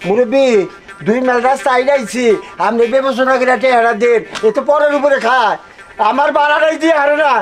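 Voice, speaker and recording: A man's voice: extremely high pitch, steady tone, brisk, faint music behind. A man playing an aggrieved village porter in a comedy skit, pleading and complaining as he haggles. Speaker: porter who carried bags.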